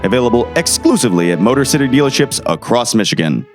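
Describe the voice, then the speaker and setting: A man as upbeat, lively car-ad pitchman. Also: quick pace, music in the background, natural voice. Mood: peppy, salesy. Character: upbeat, slick 1960s male car salesman. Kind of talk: vintage radio commercial.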